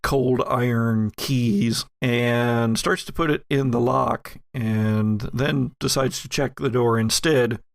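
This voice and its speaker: Slow, gravelly man running a game. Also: medium pitch, fairly even intonation, dry recording. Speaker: game master, podcast host. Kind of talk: casual narration of a tabletop game.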